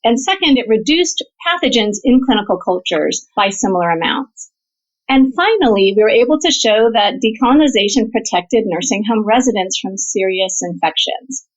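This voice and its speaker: A woman's voice: a little high, expressive, normal speed. A woman physician researcher explaining her work as an interview guest, in an orderly, structured way. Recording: dull, band-limited audio.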